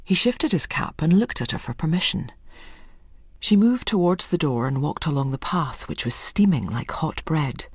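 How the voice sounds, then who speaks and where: Low, husky woman reading a story. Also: expressive, moderate pace, dry recording, clean studio sound. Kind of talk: literary story narration.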